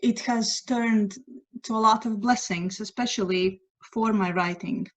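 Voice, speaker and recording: A middle-aged woman with a Latvian accent talks at a medium pitch, chatting in a second language that she speaks with a halting rhythm as she hunts for words. The sound is muffled and lo-fi, as on a home video call. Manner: halting, searches for English words. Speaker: guest writer sharing news. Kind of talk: casual chat on a video call. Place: at home by a window at night. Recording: dull lo-fi video call audio.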